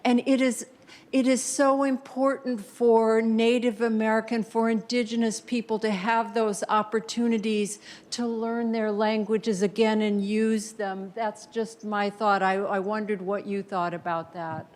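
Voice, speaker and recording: A woman from Denmark whose first language is Danish speaks from the audience. She talks slowly and earnestly in a slightly high voice, taking care over each point. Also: flat delivery, darkish tone. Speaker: audience member asking a question. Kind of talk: question from the floor.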